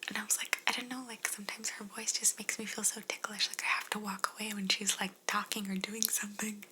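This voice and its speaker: A woman speaking in a breathy whisper, chatting casually and gently as she answers questions in an ASMR style. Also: medium pitch, flat delivery, a little fast, very noisy. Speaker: ASMR video creator answering viewer questions.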